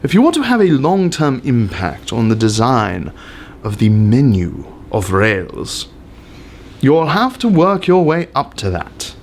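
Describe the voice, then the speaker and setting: A man's voice: medium pitch, wildly swooping pitch, measured pace, slight background noise. A man giving a pompous, theatrical dramatic reading of an essay with exaggerated emphasis.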